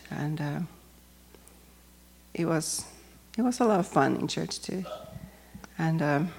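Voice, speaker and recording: Hesitant Finnish woman with a gravelly voice. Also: sits low, a little mellow. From Finland. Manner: hesitant, careful non-native English with frequent pauses. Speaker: church member giving testimony. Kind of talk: personal testimony speech.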